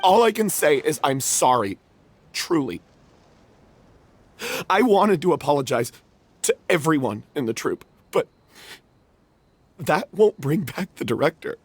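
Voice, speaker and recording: An actor playing a guilt-ridden male theater troupe member in a video game, confessing with growing self-blame in a husky voice. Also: on the high side, big pitch swings, measured pace, pauses often, exaggerated voice. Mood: anguished.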